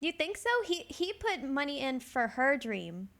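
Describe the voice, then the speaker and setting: A woman's voice: high-pitched, very animated, unhurried, gravelly, fairly bright tone. A woman hosting a casual reaction stream, chatting and giggling often with a friend on a call. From Southern United States. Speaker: VTuber reaction host.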